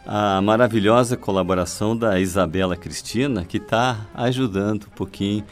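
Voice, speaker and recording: A man's voice: on the low side, normal speed. A man hosting a radio interview, friendly and encouraging toward his guest. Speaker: radio host.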